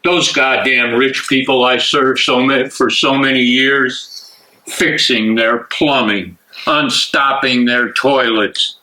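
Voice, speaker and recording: A bitter Nazi major acted by a man in a staged drama reading. The voice is forceful and mid-pitched, taunting and sneering. The lines come slowly and deliberately. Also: fairly even intonation, low-quality recording. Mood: bitter, gloating. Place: library room, live reading.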